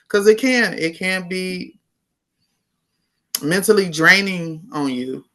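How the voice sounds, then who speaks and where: A woman in her fifties, a nurse educator, talking in a relaxed, reflective way as an interview guest. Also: lowish voice, takes it slowly. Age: 50s. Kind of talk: casual interview conversation.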